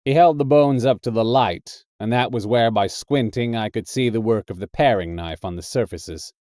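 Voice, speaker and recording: Guy doing a tough american drifter telling his story, deliberate and hard-boiled, dry close sound. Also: medium pitch, mellow, no echo, close to an everyday voice. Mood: brooding, deliberate. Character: scarlet-bearded thickset tough purgatory drifter, male narrator. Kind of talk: dramatic first-person short story narration.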